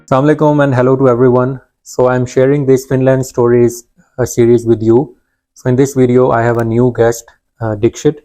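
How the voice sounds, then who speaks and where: A man's voice: medium pitch, flat delivery, a little fast, dark tone, faint music behind. A man hosting an interview, polite, with frequent murmured backchannels. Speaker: host.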